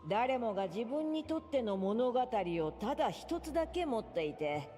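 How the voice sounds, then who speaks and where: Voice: medium pitch, sing-song, quick pace, mellow, close to an everyday voice. Reverberant, grandiose ancient prophet, acted out. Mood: solemn, cryptic. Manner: solemn, archaic, pronouncing. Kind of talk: theatrical storybook game dialogue. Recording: long hall reverb, music behind.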